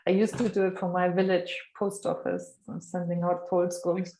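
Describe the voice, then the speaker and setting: A woman's voice: on the low side, level tone, moderate pace, dark tone. Chatty woman host in an echoey room. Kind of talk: casual chat. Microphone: video call. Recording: echoey room over video call.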